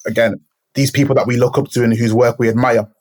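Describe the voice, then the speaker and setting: A man's voice: medium pitch, flat delivery, quick pace. A man, talking reflectively and searching thoughtfully for his words. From Manchester, England. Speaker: poet, guest. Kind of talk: reflective conversation.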